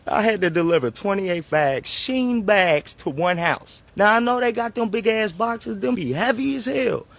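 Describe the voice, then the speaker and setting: A man's voice: high voice, expressive, moderate pace, vocal fry. A man giving a casual comic rant as a delivery driver, slangy, pleading and playfully exasperated.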